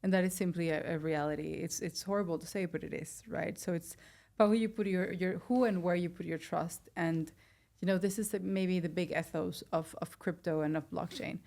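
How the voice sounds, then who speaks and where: Lower-pitched woman, chatty podcast host. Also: fairly even intonation, brisk, gravelly, a little mellow. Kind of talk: casual conversational interviewing.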